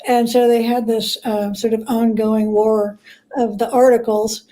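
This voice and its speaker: A woman talking as an interview guest, telling stories in a halting, reflective way with repeated words. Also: on the high side, little pitch movement, mellow. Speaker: guest author and biographer. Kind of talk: conversational interview storytelling.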